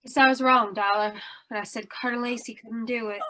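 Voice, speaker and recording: A woman playing a tough, defensive carnival aunt in an old-time radio drama, blunt and sharp-tongued. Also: a little high, steady tone, brisk. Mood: defiant, bitter.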